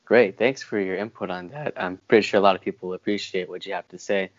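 A young man with a lowish voice hosts a casual interview. He words his questions haltingly and without a script. The audio is a little dull, as over a home video call. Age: young adult. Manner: halting, hesitant when framing questions. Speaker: interview host. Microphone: wired earphones on a video call.

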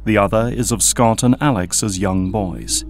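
A man giving steady, plain scripted narration for an audio drama. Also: on the low side, moderate pace, soft background music.

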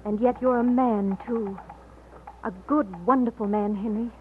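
An actress playing a tender but bold young bride-to-be in an old radio romance, with a gentle, theatrical delivery. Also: female voice, on the high side, fairly even intonation, unhurried, close to an everyday voice. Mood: affectionate. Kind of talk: 1940s radio acting. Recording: tinny, noisy old radio recording.

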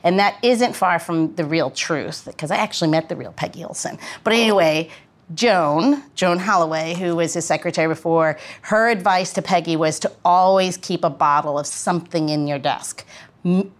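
Low-pitched woman hosting a podcast. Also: moderate pace. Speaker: podcast host.

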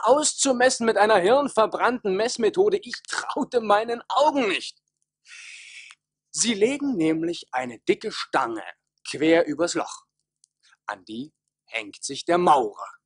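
An actor playing an excitable, scornful manservant, telling things in a theatrical, incredulous way in a radio play. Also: male voice, sits high, breathy edge, no echo, studio quality, full character voice. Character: excitable, scornful manservant wooing a guard girl.

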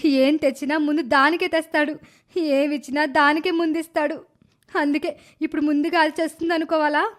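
A woman voicing a sulky, cheeky, scheming little village girl in an animated moral story. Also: high-pitched, a little fast, lightly acted. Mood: anxious, resentful. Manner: insolent, talks back to elders.

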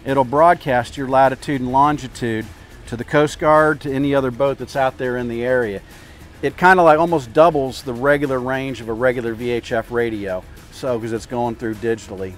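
An older man with a mid-pitched voice gives practical boating instruction in a casual, explanatory way. He speaks outdoors on a clip-on mic, with music behind him. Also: steady tone, brisk. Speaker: boat captain giving boating tips. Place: outdoors at a marina by a parking lot. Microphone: clip-on lapel mic.

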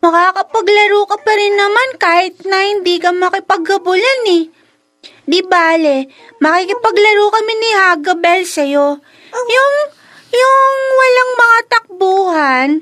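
A woman's voice: extremely high pitch, unhurried, fairly bright tone. A woman playing a sweet, wistful young girl in a Filipino radio drama, childlike and plaintive. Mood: wistful, earnest.

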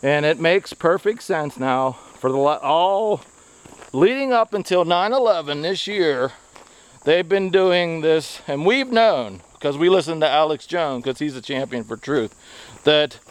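A middle-aged American man speaking earnestly and haltingly as he walks outdoors, giving an opinionated vlog monologue into a handheld camera. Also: a little high, clean tone. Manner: earnest, halting phrasing delivered while walking. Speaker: video blogger, activist. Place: outdoors on a wooded country road, walking. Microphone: handheld camera at arm's length.

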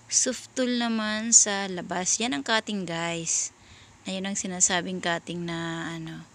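A Filipino woman talks viewers through sewing steps as a dressmaker. Her delivery is slow and halting, casual, with searches for the right word. Her voice sits at a medium pitch, over faint background noise in a homemade recording. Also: a bit bright. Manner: halting, searching for words, often addressing viewers. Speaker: dressmaker giving a sewing tutorial. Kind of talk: casual step-by-step voice-over tutorial.

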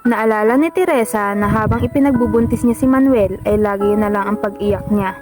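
A woman's voice: mid-range voice, fairly quick. A woman with a Filipino accent narrating a scripted radio drama as a storyteller. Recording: music playing behind the voice. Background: instrumental music.

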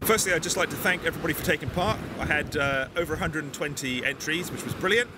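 A man in his forties, from England, chatting casually outdoors on a beach, relaxed with lots of ums and uhs. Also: on the high side, quick pace. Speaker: bushcraft vlogger. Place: outdoors on a sunny beach, windy. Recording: loud wind and sea noise.

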